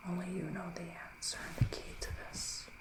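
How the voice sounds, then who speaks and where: A man playing a soothing, earnest male witch psychic in an ASMR roleplay, speaking softly and haltingly, often slipping into breathy whispers. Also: sits high, completely flat delivery, lightly acted. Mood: hushed, soothing. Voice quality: breathy soft voice drifting into whispers. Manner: hushed, halting, intimate address to listener. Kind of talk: ASMR roleplay, improvised tarot reading. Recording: roomy echo, faint background noise.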